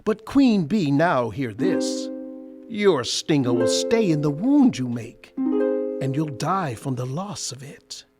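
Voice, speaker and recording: Guy doing a pompous booming god, swoopy declamatory speech, fable radio play, music under him. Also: big pitch swings, takes it slowly. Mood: stern, commanding. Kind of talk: storybook radio drama acting. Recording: music behind.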